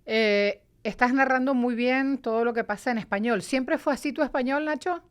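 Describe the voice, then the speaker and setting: A woman interviewing a colleague, asking questions in a lively, teasing way. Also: fairly high pitch, normal speed, clear, resonant tone.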